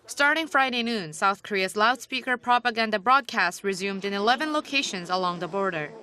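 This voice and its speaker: A woman's voice: medium pitch, expressive, a little fast, pushed and bright, clear, resonant tone. A woman reporter reading a scripted news feature narration.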